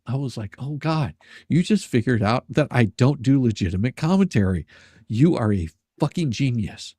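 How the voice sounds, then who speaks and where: Sarcastic, gravelly man hosting a stream. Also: mid-range voice, darkish tone, no echo, studio quality. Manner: sarcastic, joking, halting with repeated words. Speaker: live stream host. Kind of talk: casual chat, reading messages aloud with sarcastic commentary.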